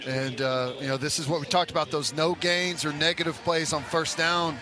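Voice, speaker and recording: Male football color analyst, higher-pitched voice. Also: fairly even intonation, moderate pace, crowd noise. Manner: conversational, explanatory, speaks in short loose phrases. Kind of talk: football analysis between plays. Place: stadium broadcast booth.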